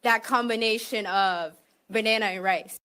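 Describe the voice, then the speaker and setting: A woman's voice: on the high side, fairly even intonation, moderate pace, sharp tone. A woman with a Somali-American accent, speaking in an interview clip and hesitating with many fillers and restarts.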